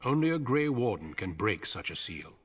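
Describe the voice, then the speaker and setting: An actor playing a grave, authoritative male commander in a video game, speaking in a measured, formal way. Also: fairly low pitch, big pitch swings, breathy edge. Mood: grave, instructing.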